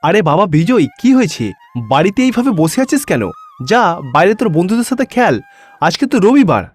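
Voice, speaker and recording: Concerned, indulgent cartoon dad man acting, raised high pitch, emphatic questions, faint music. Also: big pitch swings, moderate pace, breathy edge, full character voice. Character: concerned, indulgent father of a devout boy. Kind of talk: cartoon story dialogue.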